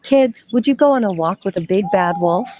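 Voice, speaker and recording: Mellow woman telling kids a story. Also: medium pitch, lively intonation, normal speed, slight background noise. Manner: playful, conversational, addresses children directly. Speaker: storyteller and children's host. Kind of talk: interactive children's story narration.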